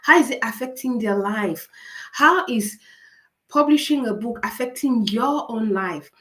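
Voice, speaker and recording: A woman with a raspy voice from a cold, hosting a live writing-coaching session, upbeat and encouraging, asking viewers many questions. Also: on the high side, lively intonation, measured pace, a bit bright. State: fighting a cold. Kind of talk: live-stream coaching talk.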